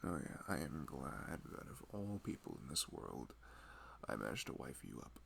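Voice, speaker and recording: A man's voice: rumbling low, big pitch swings, normal speed, creaky, fairly bright tone, slight background noise, exaggerated voice. A man playing a loving husband who rambles tenderly and a little anxiously in an intimate, conversational ASMR roleplay. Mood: tender, wistful. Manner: rambling, hesitant, conversational, frequent "you know". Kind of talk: intimate ASMR boyfriend roleplay, improvised-sounding chat.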